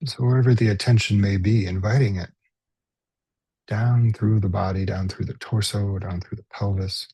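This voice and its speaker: A man guides a meditation in a mellow, lowish voice that is very husky and full of breath. He speaks gently in short phrases with unhurried pauses between them. The sound is close and dry. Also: steady tone, no room sound, poor audio. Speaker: mindfulness meditation teacher. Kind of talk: guided body scan meditation.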